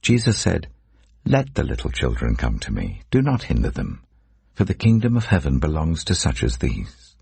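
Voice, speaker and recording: A man reading scripture as a narrator in a solemn, measured, dramatic storytelling delivery. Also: rumbling low, huge pitch swings, vocal fry, a little mellow, breathy edge, dry recording. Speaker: Bible narrator.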